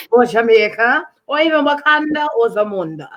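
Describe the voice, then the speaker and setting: A woman's voice: sing-song, moderate pace, a bit bright. A woman chatting casually, playful and emphatic, with big swings in her voice. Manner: emphatic and playful, swinging up high on quoted lines. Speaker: guest in conversation. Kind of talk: casual chat.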